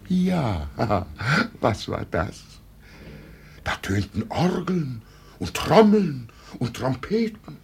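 A man's voice: lowish voice, vocal fry, darkish tone, slight background noise, full character voice. An actor in a 1950 radio play, playing a deluded middle-aged village man who grandly believes himself emperor, dreamy and solemn.

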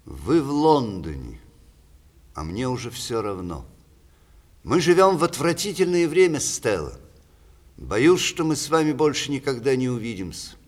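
An ageing, witty playwright in a classic radio play. His delivery is declamatory and ironic, swinging widely in pitch as he pronounces on the world with strong opinions. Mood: weary, bitter. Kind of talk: 1960s Russian theatre radio play acting.

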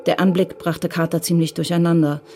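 A woman narrating a radio crime drama in a calm, measured way. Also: on the low side, faint music behind.

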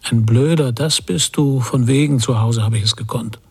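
Very husky, brooding anxious man. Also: medium pitch, darkish tone. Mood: ashamed, anxious. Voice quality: husky, breathy, often near whisper. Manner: halting, introspective, short fragments. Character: anxious, brooding emigrant husband haunted by memories. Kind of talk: literary radio drama monologue.

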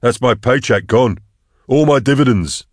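A man's voice: sits low, unhurried, dry recording. Male narrator as cynical hunter, bitter, defeatist, emphatic, clipped phrases, audiobook drama, dry sound. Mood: exasperated. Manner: terse, exasperated, emphatic.

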